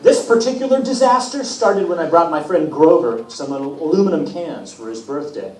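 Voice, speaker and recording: An echoey, distant recording of a man reading as a wry teenage boy demigod telling his story. The voice is fairly high and swings widely in pitch, with self-deprecating, put-upon humour. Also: lively intonation, normal speed, darkish tone, natural voice. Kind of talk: first-person storybook reading. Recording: echoey room from far off, hissy noise.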